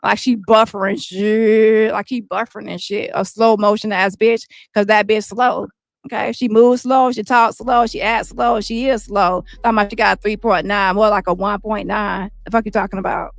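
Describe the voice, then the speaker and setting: A woman streamer ranting with heavy profanity, roasting critics with quick insults in a squeaky voice. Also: fairly even intonation, gravelly, no room sound. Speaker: commentary streamer. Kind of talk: ranting commentary.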